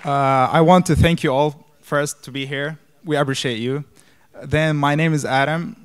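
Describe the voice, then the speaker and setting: Clear-voiced teenage guy from Syria. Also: on the high side, fairly even intonation, moderate pace, some chatter behind. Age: teens, high school junior. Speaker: student speaker. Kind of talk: informal self-introduction.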